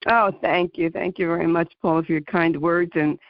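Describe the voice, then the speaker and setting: A woman hosting a radio talk show, introducing her guest and chatting warmly in the interview. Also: on the low side, fairly even intonation, moderate pace, no room sound, muffled recording. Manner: warm, chatty, interjects with laughs.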